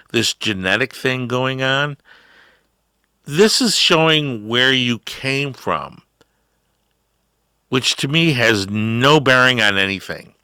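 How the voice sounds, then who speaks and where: A middle-aged man hosting a talk show, delivering a rambling opinion monologue with drawn-out words and emphatic rises. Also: mid-range voice, sharp tone, clean tone, dry recording, clean studio sound. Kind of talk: ranting political talk.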